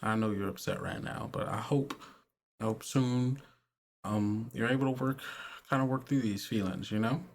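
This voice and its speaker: A man playing a soft-spoken, consoling woman caretaker who speaks in a hesitant, reassuring way. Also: on the low side, moderate pace, gravelly. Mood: gently consoling.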